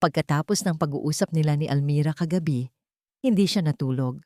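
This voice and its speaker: A woman's voice: low voice, fairly quick, warm tone, no echo, studio quality. A woman narrating a scripted romantic drama in an emotive storytelling manner.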